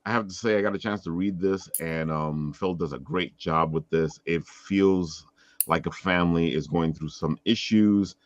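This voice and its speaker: A man with a deep voice hosts a casual chat show. He sounds dry, with no room echo, over slightly dull, lo-fi call audio. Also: normal speed. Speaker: interview show host.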